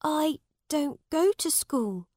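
A woman's voice: high-pitched, lively intonation, slow, darkish tone, no echo, clean studio sound, voice pushed up. A woman voicing a poor young storybook boy who is polite, honest and earnest. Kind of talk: storybook character voice.

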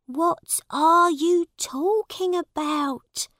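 A woman's voice: extremely high pitch, extremely slow, fairly bright tone, no room sound, clean studio sound, voice pushed up, heavily acted. A woman voicing a worried, tender mother of a poor family in a storybook reading. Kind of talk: storybook character voice.

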